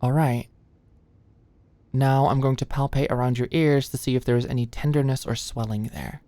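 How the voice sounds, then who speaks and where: A woman playing a sweet, anxious young medical student in an ASMR roleplay, speaking gently and a little awkwardly close to the mic. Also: extremely low pitch, fairly even intonation, no room sound. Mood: gently clinical. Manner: gentle, halting, clinical instructions slipping into shy fluster. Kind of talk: ASMR roleplay, soft close conversational delivery. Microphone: close microphone, dry sound.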